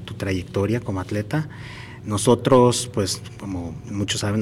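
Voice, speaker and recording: A man's voice: lowish voice, slight background noise. A man hosting a podcast interview, speaking casually with many fillers and hesitations. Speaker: podcast host. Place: outdoors at a marathon event.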